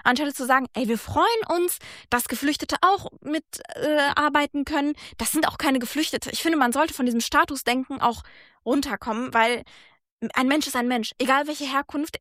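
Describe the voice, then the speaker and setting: A woman's voice: sits high, moderate pace, a bit bright, no echo, clean studio sound. A woman born and raised in Germany, speaking earnestly and reflectively in a casual conversation. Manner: earnest, reflective, speaks in long thoughtful runs. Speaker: guest.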